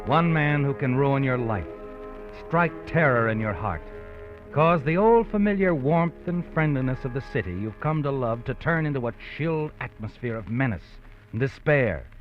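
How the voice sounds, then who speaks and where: A man's voice: medium pitch, lightly acted. An actor voicing an ominous male narrator who speaks to the hero in brooding second-person narration, in a 1950s radio drama. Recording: lo-fi 1950s radio recording with music under.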